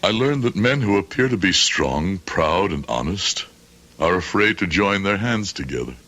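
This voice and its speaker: Proud old immigrant patriarch: low, forceful, stern, formally righteous, for radio drama. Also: male voice, animated, normal speed, exaggerated voice. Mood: proud, firm. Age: older. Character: proud, stubborn old immigrant shopkeeper father.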